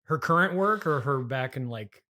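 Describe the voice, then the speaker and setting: An American man hosting a casual improvised comedy interview as a halting, politely baffled straight man. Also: medium pitch, animated, sharp tone. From United States, Illinois. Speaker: podcast host and interviewer.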